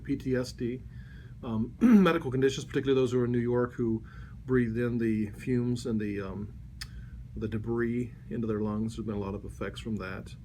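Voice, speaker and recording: A man in his forties answering interview questions, pausing often with ums and restarts. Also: medium pitch, a little mellow. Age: 40s. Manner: halting, many ums and restarts. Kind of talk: recalling and explaining in a casual interview. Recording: background noise.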